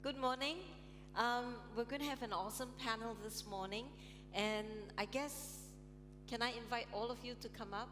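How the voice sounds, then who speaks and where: A woman's voice: on the high side, steady tone, normal speed. Woman panel moderator in a big reverberant hall with music behind her, chatty, lots of ums. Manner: chatty, laughs often, many ums. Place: large conference hall on stage.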